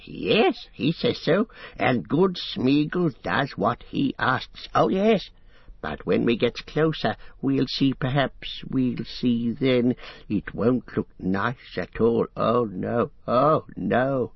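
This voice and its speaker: A man voicing a wretched, split-minded creature who fawns, whimpers and hisses in a high whine, speaking of himself in the third person in an audiobook. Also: animated, slow pace, gravelly, slightly rough, dry recording, heavily acted. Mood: frightened fawning. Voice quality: high whining hiss. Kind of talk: audiobook character voice. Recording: dull lo-fi recording.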